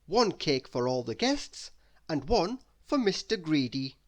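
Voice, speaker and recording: A man reading a children's story aloud as narrator, expressive and deliberate with dramatic emphasis. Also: on the high side. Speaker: storybook reader.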